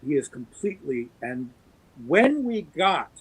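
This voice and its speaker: A man's voice: a little high, big pitch swings, unhurried, husky, low-quality recording. A man talking as a guest in a casual podcast chat, rambling and enthusiastic, with many fillers and restarts. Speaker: guest actor.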